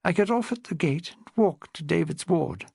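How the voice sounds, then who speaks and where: A man voicing a frail, refined old woman over seventy who talks in a gently fussing, well-bred way. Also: a little high, lively intonation, normal speed, vocal fry, slightly rough, no room sound, studio quality, voice pushed up, full character voice. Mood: chatty.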